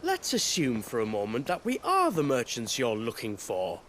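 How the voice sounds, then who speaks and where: An actor playing a glib, foul-mouthed British smuggler boss in a video game, sarcastic and full of bluster. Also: male voice, mid-range voice, huge pitch swings, normal speed, a bit bright, slight background noise. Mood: wry, evasive. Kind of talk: video game cutscene acting.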